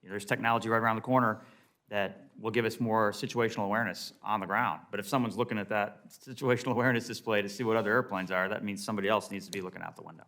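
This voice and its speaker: A man's voice: lowish voice, fairly even intonation, very fast, fairly bright tone. An American man, an airline pilot, giving unscripted, emphatic and explanatory testimony at a hearing.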